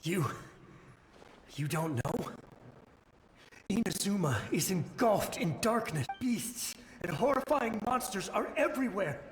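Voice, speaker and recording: A man's voice: sits high, big pitch swings, slow pace, long pauses, heavily acted. A man playing a weary but resolute soldier's ghost in a video game, urgent and dramatic, with a ghostly echo. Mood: alarmed warning. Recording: echoing ghostly reverb, faint noise.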